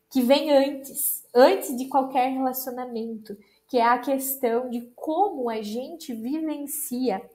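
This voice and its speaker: High-voiced chatty woman hosting a podcast. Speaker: podcast host. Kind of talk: casual podcast chat.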